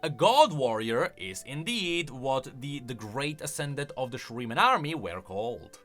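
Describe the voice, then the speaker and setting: A man's voice: mid-range voice, sing-song, moderate pace, fairly bright tone, soft background music. A man hosting a video, giving off-the-cuff, emphatic commentary that explains game lore.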